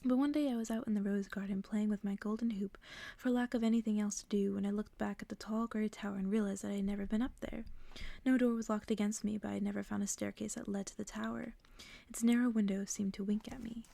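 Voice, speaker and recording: A woman reading a bedtime story as ASMR in a soft, breathy whisper, hushed and gentle. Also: medium pitch, fairly even intonation, quick pace, no echo. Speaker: ASMR creator reading stories aloud. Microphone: close-up microphone.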